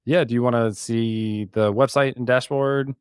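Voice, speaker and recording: A man's voice: mid-range voice, fairly even intonation, normal speed, clear, resonant tone, dry recording, clean studio sound. A man, a podcast co-host, casually talking through a walkthrough in a conversational way. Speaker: podcast co-host, guest on the show.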